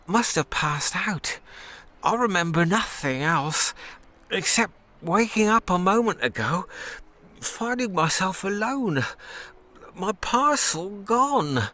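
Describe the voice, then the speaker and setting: Casting: drunken youth for a game, groaning, plaintive, dragging his words very slowly. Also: sits high, bright, forceful tone, heavily acted. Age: young adult. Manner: halting, plaintive. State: groaning, hungover. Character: groggy, pitiful drunken young delivery man. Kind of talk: video game voice acting.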